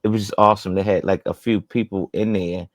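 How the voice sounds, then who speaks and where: A man's voice: sits low, fairly even intonation, quick pace, no echo. A man co-hosting a podcast, telling a rambling story full of fillers and laughter. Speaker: podcast co-host. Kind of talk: casual chat.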